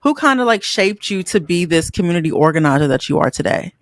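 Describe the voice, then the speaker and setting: A woman's voice: lowish voice, expressive, fast talker, no room sound, studio quality. A woman hosting a casual interview, asking questions and affirming her guest often. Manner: warm, affirming, frequent laughs and "mm-hmm". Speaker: podcast host.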